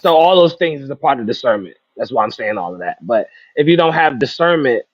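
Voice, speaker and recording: A man's voice: mid-range voice, animated, fairly quick, no echo. A man chatting casually and reflectively as a talk show panelist, over a lo-fi connection. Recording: dull lo-fi connection.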